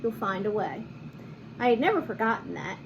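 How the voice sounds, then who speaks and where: A woman reading a picture book aloud to children in an expressive, animated manner, with chatty asides and simple explanations. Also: mid-range voice, moderate pace, background noise. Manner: animated, acts out lines and explains words to children. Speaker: children's read-aloud host.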